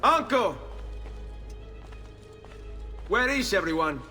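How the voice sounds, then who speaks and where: A man's voice: sits high, very animated, moderate pace, pushed and bright, faint music behind, heavily acted. An actor playing a proud, headstrong young Italian nobleman in a video game, blunt in manner and speaking with an Italian accent. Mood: urgent. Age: young adult. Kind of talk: dramatic video game voice acting.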